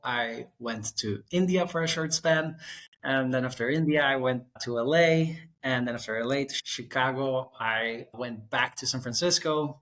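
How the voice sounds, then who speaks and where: A man in his thirties from Costa Rica, an architect guest telling his career story in a relaxed, winding way. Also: medium pitch. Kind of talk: conversational interview.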